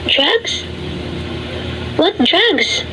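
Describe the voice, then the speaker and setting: A woman acts as a troubled teenage girl in an amateur radio play. Her voice is high and slow-paced, evasive and needy, over clearly noisy ambience. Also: sing-song, exaggerated voice. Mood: confused, defensive.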